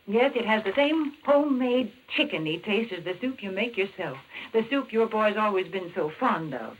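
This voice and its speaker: Animated 1940s radio spokeswoman. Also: medium pitch, moderate pace. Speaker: commercial announcer and co-host. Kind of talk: scripted 1940s radio advertisement. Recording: muffled vintage radio with faint noise.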